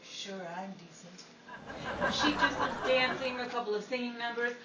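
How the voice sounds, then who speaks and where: A woman playing an excitable, aggrieved 1950s Hollywood actress, theatrical and emphatic, in the style of an old-time radio comedy. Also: a little high, unhurried, roomy sound, recorded from a distance, very noisy, close to an everyday voice. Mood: indignant, dramatic.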